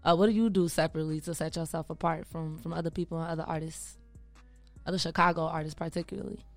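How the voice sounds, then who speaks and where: Woman interviewer, a bit low, informal and affirming. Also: fairly even intonation, fast talker, faint music behind. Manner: agreeable, affirming, informal slang. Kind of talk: casual interview chat.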